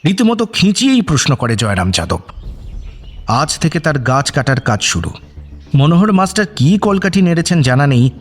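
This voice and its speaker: Animated male suspense story narrator. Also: fairly high pitch. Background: faint music.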